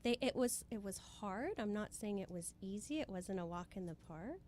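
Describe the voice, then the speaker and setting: A woman's voice: mid-range voice, lively intonation, normal speed, a little mellow. An earnest woman from Hawaii speaks as an interview guest in a mid-range voice. She explains things in a motivational, conversational way, repeating phrases for emphasis, with light noise in the background. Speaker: spiritual guest being interviewed.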